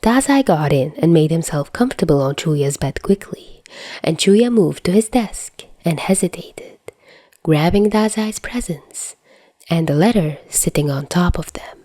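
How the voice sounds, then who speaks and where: A woman reading a scripted story aloud as a podfic narrator, in an expressive manner. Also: lowish voice, a little slow, no room sound. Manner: expressive reading with acted dialogue.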